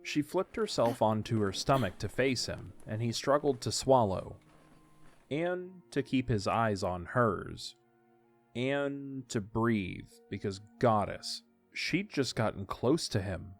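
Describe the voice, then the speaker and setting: A man's voice: medium pitch, animated, takes it slowly. A man reading scripted story narration as the narrator of an audio drama. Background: faint music.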